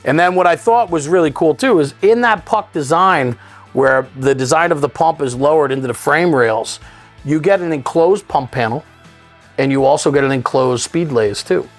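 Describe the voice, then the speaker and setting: A man's voice: fairly high pitch, moderate pace, soft background music. A man hosting a studio interview, explaining things in an enthusiastic way. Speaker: show host interviewing a guest. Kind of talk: studio interview about fire apparatus.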